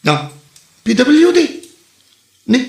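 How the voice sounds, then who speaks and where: A man's voice: extremely high pitch, very animated, slow pace, gravelly, darkish tone, exaggerated voice. A man playing a hapless, complaining tricycle driver in a comedy drama, stammering and exclaiming in exasperation. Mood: exasperated complaining. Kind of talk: comedy radio drama acting. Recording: roomy, echoey sound.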